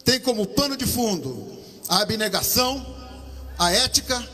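Solemn military commander at a podium. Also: male voice, sits high, big pitch swings, sharp tone, in a large hall. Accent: Brazilian Portuguese. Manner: slow, solemn, declamatory. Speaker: army commander giving a ceremonial speech. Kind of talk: formal ceremonial speech. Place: outdoor parade-ground stand. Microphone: podium microphone.

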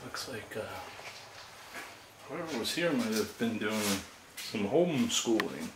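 A man filming himself as he walks through an abandoned house, talking quietly and uneasily to his viewers. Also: fairly low pitch, expressive, unhurried. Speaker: vlogger exploring an abandoned house. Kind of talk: casual handheld commentary. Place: empty derelict house, indoors. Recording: echoey bare room, noisy.

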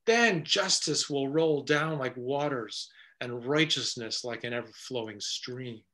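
A middle-aged man, a pastor, preaching an earnest, measured sermon indoors before a stone wall. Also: medium pitch, bright, forceful tone.

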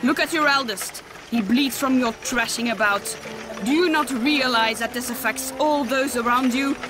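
A woman's voice: sits high, moderate pace, close to an everyday voice. An actress playing a stern, imperious woman healer in a fantasy audio drama, scolding in formal, archaic phrases. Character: stern, commanding woman healer and mother, scornful of fools. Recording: loud music behind the voice.

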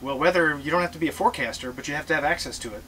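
A middle-aged man giving a relaxed, joking talk about sailing to an audience, standing aboard a catamaran in a marina with notes in hand. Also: on the high side, very fast, a little hiss or hum, rough recording. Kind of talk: casual presentation to an audience. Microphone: far camera.

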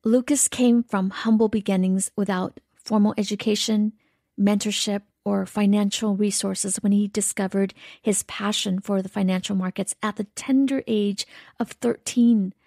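A woman co-host reading a scripted podcast introduction at a measured pace. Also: medium pitch, steady tone, creaky, dark tone, no echo, studio quality.

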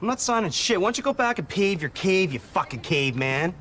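A self-important park supervisor blusters in a very high, bright, lively voice. He speaks like he is making an announcement. Faint outdoor noise runs underneath. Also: brisk. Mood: defiant. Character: pompous shirtless new park supervisor, middle-aged man. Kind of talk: mockumentary comedy acting. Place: outdoors at a trailer park picnic.

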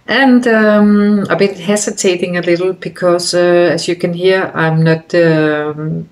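A woman's voice: on the low side, fairly even intonation, measured pace, a little mellow. A woman in her fifties from Denmark with a Danish accent, talking casually to camera in her bedroom, hesitant with many fillers and restarts. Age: 50s. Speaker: vlogger. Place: bedroom indoors.

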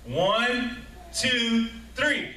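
A man leads a church children's program, calling out to the kids in an upbeat, rallying way. His voice sits fairly high, and it is heard from far back in an echoey sanctuary with noise around him. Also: expressive, drawn-out words, sharp tone. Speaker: children's ministry leader. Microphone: far camera.

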